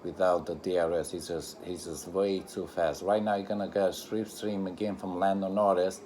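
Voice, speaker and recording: A man who is a non-native English speaker gives informal live race commentary for a reaction video, in halting, repetitive phrases with broken grammar. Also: low voice, flat delivery, a little mellow. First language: not English.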